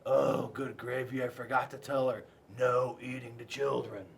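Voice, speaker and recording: A man voicing a gentle, patient dinosaur father in a children's storybook, explaining things with fond exasperation and some hesitation. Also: mid-range voice, level tone, normal speed, vocal fry, voice pushed down, lightly acted. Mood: exasperated.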